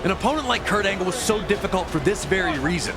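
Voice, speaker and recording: Guy with a pretty high voice doing dramatic sports story narration, music blasting behind him. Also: expressive, fast. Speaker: match narrator. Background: loud music.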